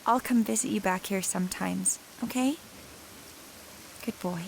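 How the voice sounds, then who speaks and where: A woman playing a grieving young woman in an audio drama, speaking casually, tenderly and haltingly, with a wry edge. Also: medium pitch, vocal fry, darkish tone, slight background noise, rough recording. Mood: tearful, tender. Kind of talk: naturalistic audio drama acting.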